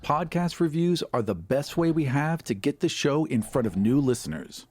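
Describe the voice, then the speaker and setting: A man's voice: fairly high pitch, lively intonation, normal speed, a little mellow, breathy edge. A man co-hosting a podcast, presenting and chatting. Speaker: podcast co-host, writer and producer.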